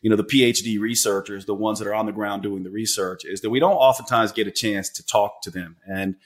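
A man's voice: on the low side, steady tone, brisk. A man hosting a podcast, a clinician asking long, winding, earnest questions with restarts. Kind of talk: interview questions.

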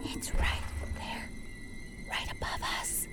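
A woman's voice: takes it slowly, in a whisper, over loud music, natural voice. An actress playing a determined, protective woman hiker in an audio drama, urgent, foul-mouthed and reassuring under pressure. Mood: frightened, hushed. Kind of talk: full-cast audio drama acting.

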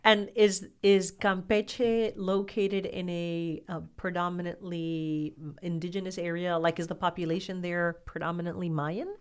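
Woman podcast host, lowish voice, asking probing questions in a chatty way. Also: flat delivery, measured pace, hardly any pauses, clean tone. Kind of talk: conversational interviewing.

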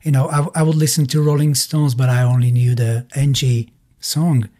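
Musician guest, male, middle-aged, Bulgarian accent. Relaxed, warm tone, medium pitch, searches for words while recounting memories. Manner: halting, searching for words in non-native English. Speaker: musician being interviewed. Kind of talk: casual interview, personal storytelling.

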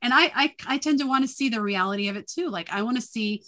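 A woman's voice: a little high, fast talker, fairly bright tone. Guest: middle-aged woman, New England accent, chatty, laughs a lot. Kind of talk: casual conversation.

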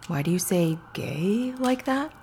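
An actress playing a stubborn, wry woman in a stage play who jokes through her fear, with a husky voice and a rambling manner. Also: on the low side, animated, unhurried, darkish tone, a little hiss or hum. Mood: afraid, candid.

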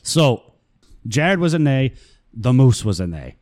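A man's voice: mid-range voice, sing-song, measured pace, a little mellow. Easygoing man co-hosting a chat. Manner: easygoing, agrees often, swears casually. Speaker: co-host. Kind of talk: casual chat.

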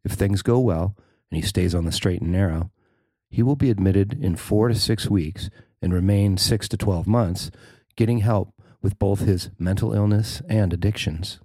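Low-voiced man reading a feature story. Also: normal speed, warm tone, dry recording. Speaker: writer and narrator of a feature story. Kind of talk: scripted narration of a news feature.

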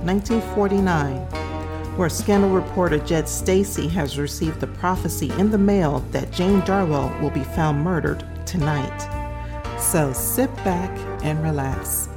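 A woman giving a scripted introduction as host of a podcast. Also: low voice. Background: prominent music.

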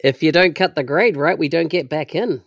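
A man's voice: a little high, moderate pace, no room sound, studio quality. A man hosting a podcast, reading an intro and chatting with a guest in a conversational way. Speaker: podcast host. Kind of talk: podcast interview.

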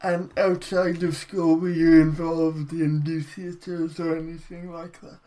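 A man's voice: fairly high pitch, measured pace. Young man hosting a podcast. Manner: relaxed, asks follow-up questions. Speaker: podcast host. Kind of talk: casual interview.

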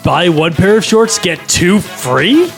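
A man playing an excitable skateboarding shopper amazed by bargains, with exaggerated, drawn-out delivery in a comedy table read. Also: high voice, creaky, faint music behind, exaggerated voice.

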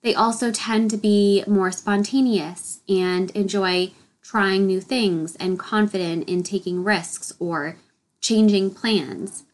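A woman's voice: mid-range voice, fairly even intonation, takes it slowly, low-quality recording. A woman hosting her solo podcast, a little nasal and congested from a head cold, explaining a topic in an upbeat way. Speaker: podcast host.

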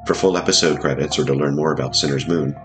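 A man reading scripted programme credits in a measured, formal manner. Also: extremely low pitch, fairly even intonation. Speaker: credits announcer. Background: music.

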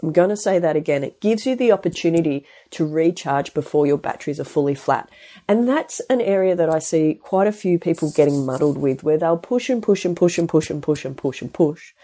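A woman's voice: low-pitched, expressive, fairly quick, dark tone. A woman with a stuffy head cold, hosting her podcast in a chatty, rambling way full of restarts and asides. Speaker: podcast host and health practitioner. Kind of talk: casual solo podcast talk.

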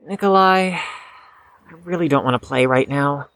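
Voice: medium pitch, unhurried, a bit bright, a little hiss or hum. An actor playing a reserved, defensive young chess prodigy in an audio drama, with a guarded, precise, slightly stiff delivery. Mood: reluctant. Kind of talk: scripted audio drama acting.

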